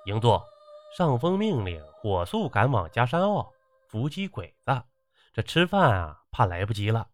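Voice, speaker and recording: A man's voice: mid-range voice, very animated, moderate pace, natural voice. A man voicing a fawning, servile army officer who reports to his commander in an audiobook. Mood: deferential. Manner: deferential, ingratiating. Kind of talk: audiobook character acting.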